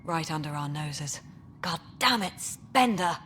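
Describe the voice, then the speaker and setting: Low-voiced, stern station director. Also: female voice, lively intonation, a little slow, sharp tone, soft background music, full character voice. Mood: stern, bitter. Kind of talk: video game voice acting.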